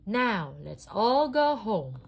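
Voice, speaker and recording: A woman's voice: medium pitch, big pitch swings, slow pace, creaky, a little hiss or hum, voice pushed up, exaggerated voice. A woman voicing a brave, urgent male toy cowboy from a storybook, calling out emphatically. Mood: excited.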